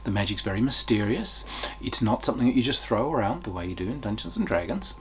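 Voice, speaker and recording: A man's voice: mid-range voice, expressive, fast, a bit bright, slight background noise. A middle-aged man giving a casual, unscripted video review in an enthusiastic, emphatic way, as a tabletop game reviewer.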